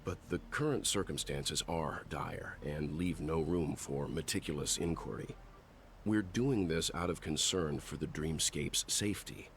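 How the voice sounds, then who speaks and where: Real deep-voiced polite serious gentleman from a game, careful formal talk. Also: slight background noise. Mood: grave, polite. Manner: formal, measured, politely insistent. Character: grave, courteous middle-aged gentleman, composed and cautious. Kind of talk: scripted video game acting.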